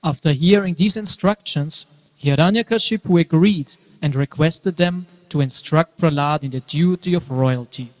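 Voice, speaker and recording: A man narrating a dramatic scripture reading, telling the story with deliberate emphasis. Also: on the high side, a little mellow. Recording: reverberant hall.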